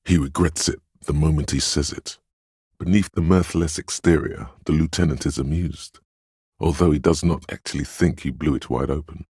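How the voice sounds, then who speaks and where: A man voicing a game's empathetic inner voice, a perceptive and tender narrator with a husky, very deep voice and measured, literary delivery. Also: steady tone, darkish tone, dry recording, clean studio sound, exaggerated voice. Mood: dry, observant. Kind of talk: scripted game narration.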